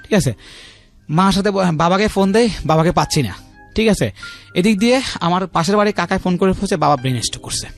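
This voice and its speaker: A man's voice: sits high, lively intonation, fast talker, gravelly. A man in his thirties with a Bangladeshi accent, telling his personal story as a radio interview guest, repeating himself and often adding check-in tags. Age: 30s. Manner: repetitive, uses frequent check-in tags. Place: radio studio. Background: faint music.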